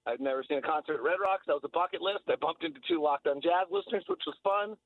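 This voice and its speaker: A man's voice: a little high, fast, no room sound. An American man, a sports broadcaster guesting on radio, chatting casually in an effusive, rambling way. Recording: thin, phone-like line.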